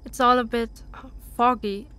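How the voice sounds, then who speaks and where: A woman playing a confused, distraught spaceship survivor in an audio drama, talking haltingly. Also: a little high, steady tone, sharp tone, clear, resonant tone. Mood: dazed, confused. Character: disoriented, distraught female spaceship crew survivor.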